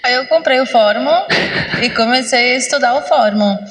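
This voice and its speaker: A woman in her thirties from Brazil, a podcast guest telling her story in a casual, relaxed way. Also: fairly high pitch, moderate pace, roomy sound, big-room sound, a little hiss or hum. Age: 30s.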